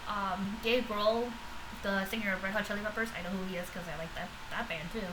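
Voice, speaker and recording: A woman talking casually in a reaction vlog, rambling with many fillers and restarts, in a loud voice. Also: medium pitch, steady tone, brisk, background noise. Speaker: vlogger reacting to podcast episodes.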